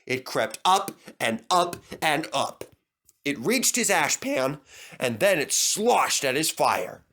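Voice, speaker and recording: A man's voice: fairly high pitch, big pitch swings, takes it slowly, a bit bright. A man reading a scripted children's story as its narrator.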